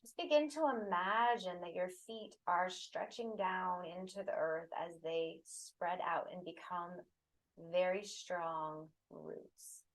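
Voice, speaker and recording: A woman leading a guided meditation, giving soothing, unhurried instructions in short phrases with pauses between them. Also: on the low side, poor audio.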